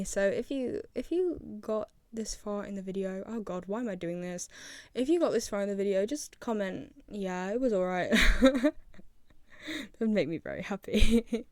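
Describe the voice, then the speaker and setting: A woman's voice: medium pitch, a little mellow, dry recording. A young woman giving casual, rambling commentary as a video creator, with a self-deprecating manner.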